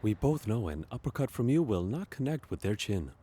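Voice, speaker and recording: A man's voice: fairly low pitch, sing-song, a little fast, mellow, slightly rough. A man playing an earnest, wry starship captain in a comic sci-fi audio play, commanding yet reasonable. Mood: dry, patient.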